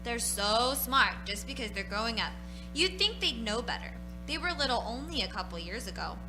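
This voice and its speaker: A high, childlike voice of a young daughter in a radio play. She is eager and slangy, dodging questions and scorning doubters, with music in the background. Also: sing-song, normal speed. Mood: scornful. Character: eager, evasive young daughter playing invasion.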